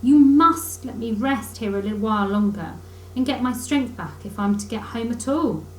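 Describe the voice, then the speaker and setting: A woman's voice: on the high side, animated, normal speed, echoey room, slight background noise, close to an everyday voice. A woman voicing a frightened, weary little male mole in a children's storybook, plaintive and earnest. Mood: weary, apologetic.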